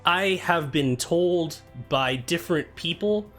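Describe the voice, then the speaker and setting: Young man, live-stream chat, soft soothing voice, slightly high, self-deprecating, faint music underneath. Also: slow pace. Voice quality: soft, told he sounds soothing. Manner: chatty and self-deprecating, greets viewers by name.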